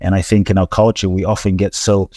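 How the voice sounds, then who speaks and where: A man with a lowish voice talks earnestly as an interview guest. His speech is reflective and full of restarts and repeated words. The sound is dry and close. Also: level tone, brisk, mellow, no echo. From grew up in London. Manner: earnest, repetitive, frequent restarts and stammered repeats. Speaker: transformational teacher, podcast guest.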